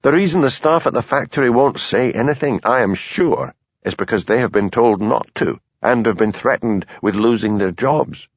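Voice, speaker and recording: A man voicing a nervous, earnest police constable with a Highland Scottish accent, in a dull lo-fi recording. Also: moderate pace, dry recording, lightly acted. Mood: pleading. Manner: earnest, pleading.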